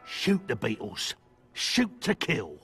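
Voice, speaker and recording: A man playing a nervous, vindictive shopkeeper with a halting, theatrical delivery in a video game. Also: medium pitch, huge pitch swings, a bit bright, faint music behind, full character voice. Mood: gleefully vengeful. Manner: halting, theatrical, drawn-out words. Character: nervous, vindictive, beetle-phobic male shopkeeper. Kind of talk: video game cutscene dialogue.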